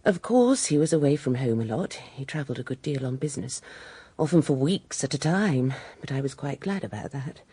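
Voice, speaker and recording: A woman playing a poised, candid British widow in a radio drama, genteel and measured, with a dark husky voice. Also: extremely low pitch, expressive, no echo, heavily acted. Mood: candid, weary. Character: poised, candid upper-class widow, coolly charming.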